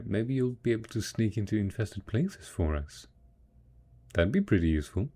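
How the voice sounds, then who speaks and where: A man plays a devoted husband in an intimate post-apocalyptic audio roleplay. His voice is low, soft and husky, with a dark, relaxed tone. He speaks very slowly and a little hesitantly, patiently coaxing his listener as one would a child. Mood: proud, tender. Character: devoted, patient husband tending zombie spouse after apocalypse.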